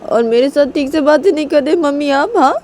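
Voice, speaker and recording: Casting: woman playing jealous sulky girl for story drama; raised pitch, whiny, accusing, repetitive demands. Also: a little fast, a little mellow, a little hiss or hum, close to an everyday voice. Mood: angry, whining. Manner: whining, repetitive, demanding questions. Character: angry, jealous, sulking girl confronting her mother and rival.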